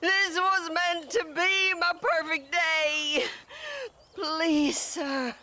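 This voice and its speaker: An actress playing a deluded, genteel, fragile bride in a western video game. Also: female voice, very high-pitched, little pitch movement, drawn-out words, sharp tone, exaggerated voice. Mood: pleading.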